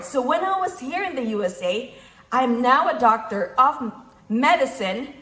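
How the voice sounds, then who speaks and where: A woman's voice: high voice, pushed and bright. A middle-aged woman with a Filipino accent giving a motivational talk in numbered points, stressing and repeating her key phrases. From Philippines. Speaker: motivational speaker, physician and investor. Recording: echoey room sound.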